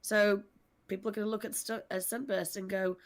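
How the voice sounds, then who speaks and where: A woman's voice: medium pitch, little pitch movement, brisk. A woman speaking articulately and earnestly at length, explaining characters on a discussion panel.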